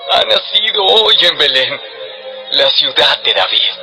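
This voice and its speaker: An actor playing a solemn angel proclaiming joyful news in a dramatized scripture audio drama. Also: male voice, mid-range voice, bright, forceful tone, heavily acted. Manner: slow, exalted, proclaiming.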